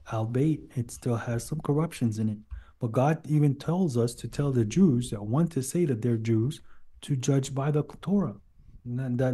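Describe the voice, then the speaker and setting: Man, very mellow dark timbre, average pitch, religious debater, insistent explainer, informal delivery. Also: slightly rough. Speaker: debate guest defending Islam.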